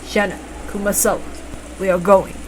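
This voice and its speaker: A woman's voice: mid-range voice, little pitch movement, moderate pace, noisy background, natural voice. A woman voicing a shrewd, suspicious mother who gives polite but pointed orders in a story reading. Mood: polite command. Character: shrewd, suspicious mother taking command of her family.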